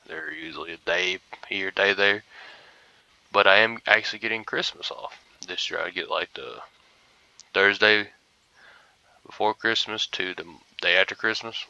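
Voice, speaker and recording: A man's voice: deep voice, gravelly, sharp tone, dry recording, muffled recording. A young man casually commentating a hunting game, groggy and rambling as if he just woke up. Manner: laid-back, rambling, mumbly asides. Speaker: gaming streamer.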